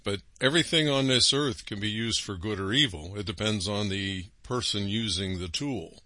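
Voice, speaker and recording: Forceful preaching man, dry sound. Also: lowish voice, normal speed, dry recording. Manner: emphatic preacher, rhetorical questions, frequent "okay?". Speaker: radio broadcast host. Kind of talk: preaching religious broadcast.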